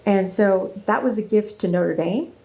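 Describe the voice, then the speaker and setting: Woman, 50s, from Southern California, native German speaker, gravelly voice, earnest and animated, often restarting her sentences. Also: medium pitch, unhurried, low-quality recording. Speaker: guest speaker. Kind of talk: interview conversation.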